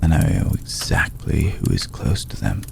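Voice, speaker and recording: A man playing an ancient, aristocratic vampire in an ASMR roleplay, formal, hushed and gravelly, protective and vengeful, with deliberate pauses. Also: rumbling low, very animated, slight background noise, heavily acted. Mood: cold, vengeful. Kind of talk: ASMR roleplay, slow intimate monologue.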